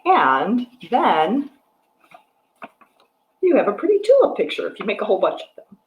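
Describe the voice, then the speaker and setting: A middle-aged American woman speaks to young children in a storytime style, her pitch moving in lively swoops. She explains things simply and puts questions to the listener. The sound is roomy, picked up by a device microphone indoors. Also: normal speed, phone-quality sound, a little hiss or hum. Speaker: children's librarian hosting storytime. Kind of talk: picture-book read-aloud and craft talk to young children. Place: room at home with wooden floor and piano. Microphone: device microphone at about arm's length.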